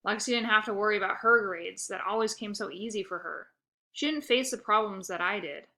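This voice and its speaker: A woman narrating a children's book aloud with expressive, first-person storytelling. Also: medium pitch, brisk.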